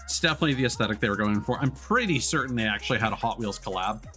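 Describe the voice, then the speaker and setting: A man's voice: mid-range voice, sing-song, quick pace, fairly bright tone. A man giving casual livestream gaming commentary, chatting as a streamer and swearing casually. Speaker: game streamer. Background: game music and racing car sounds.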